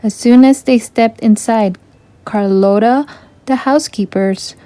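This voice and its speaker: A woman's voice: a little high, unhurried, mellow, smooth, no echo. A woman narrating a children's story, reading aloud from a storybook.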